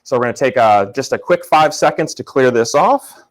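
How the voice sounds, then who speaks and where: Loud casual male photography instructor. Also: medium pitch, lively intonation, normal speed. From Florida. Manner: casual, upbeat, talks to chat. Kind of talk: live workshop demonstration.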